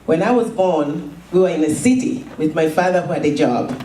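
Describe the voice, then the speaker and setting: A middle-aged woman from Zambia, slowly telling a personal story at a fundraiser, with halting pauses and repeated words. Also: low-pitched, animated, dark tone, a little hiss or hum. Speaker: charity founder giving a speech. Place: event hall. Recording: echoey room, from a distance.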